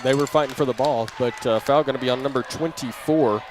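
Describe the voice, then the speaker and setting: A man works as a play-by-play announcer at a live basketball game, with a mid-range voice. He narrates in short, clipped phrases that follow the action. Clear gym noise and ball bounces sit behind him. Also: medium pitch, fairly quick, some chatter behind. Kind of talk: live basketball radio commentary.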